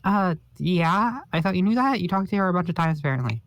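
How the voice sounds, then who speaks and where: Man doing a goofy high-voiced teen boy. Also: expressive, fast, a bit bright, no echo. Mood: eager. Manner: eager, pleading, easygoing. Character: cheerful naive teenage boy, eager and goofy. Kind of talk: comic read-along voice acting.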